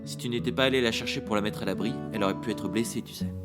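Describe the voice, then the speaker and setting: A man playing a tender, playful father who gently teases his young daughter in a French audio drama. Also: fairly low pitch, very fast, natural voice. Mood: gently teasing. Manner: gentle, teasing. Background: guitar music.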